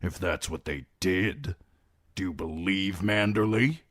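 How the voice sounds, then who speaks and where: A coarse, sneering young lord with a deep, growling delivery, as a man reading a story plays him. He talks slowly and grumbles, heard close and dry. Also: fairly bright tone, dry recording. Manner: growling, complaining.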